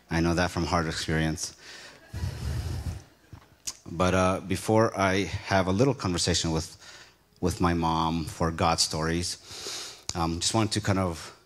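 A man's voice: low voice, fairly bright tone. A middle-aged man born in Ukraine and raised in the United States, speaking to a congregation in an earnest, conversational way and often stopping to rephrase. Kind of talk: informal talk to a congregation.

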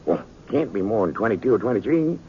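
A man's voice: on the low side, sing-song, quick pace, husky, exaggerated voice. A man playing a folksy, rambling old-timer with an American accent in a 1950s radio Western. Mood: chatty, admiring. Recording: muffled 1950s radio recording.

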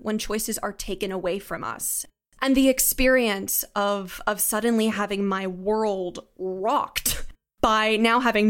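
A woman giving reflective, articulate interview answers in an earnest way, as a creative guest. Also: mid-range voice. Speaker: podcast guest, audio drama writer and sound designer.

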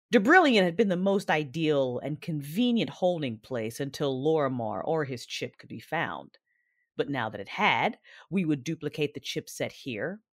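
A woman playing a cool, shrewd strategist with a dark streak, narrating in the first person in a sci-fi audio drama. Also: low-pitched, dry recording, clean studio sound.

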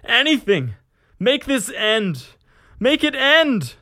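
Tormented male soldier for war storytelling, performed by a man reader: high, strained begging, dry close sound. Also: very animated, slow pace, sharp tone, no echo, exaggerated voice. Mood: desperate screaming. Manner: stammering, pleading. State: in agony. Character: agonized terrified mortal soldier begging for death.

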